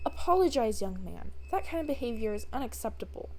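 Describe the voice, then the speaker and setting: A woman's voice: a little high, big pitch swings, a little fast, darkish tone, a little hiss or hum. A woman voicing a stern, weary, authoritative woman with a curt, dry manner in a story.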